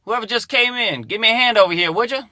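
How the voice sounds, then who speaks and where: An actor playing a folksy, drawling mechanic with a Southern US accent in a video game. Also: male voice, high-pitched, quick pace, pushed and bright, no room sound, studio quality. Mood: instructive. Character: folksy drawling male mechanic, gruff but friendly. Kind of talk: video game character dialogue.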